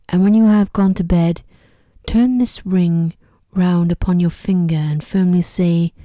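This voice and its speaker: A woman voicing a sorrowful, devoted male beast in a mournful, hushed ASMR storybook reading. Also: lowish voice, no echo, clean studio sound, close to an everyday voice.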